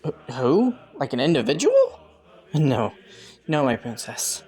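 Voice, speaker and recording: A man playing an ambitious young prince in a romantic fantasy audio roleplay, with formal, courtly phrasing and soft hesitations, scheming yet earnest. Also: a little high, huge pitch swings, slow, soft background music, heavily acted. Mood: calculating.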